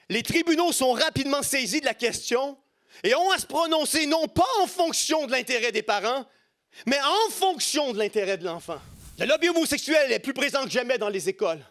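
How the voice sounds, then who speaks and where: Middle aged quebec french guy preaching, really high voice for a man, bright forceful, emphatic sermon style. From Quebec, Canada. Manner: emphatic, rhetorical, repeats key phrases. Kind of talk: impassioned church sermon.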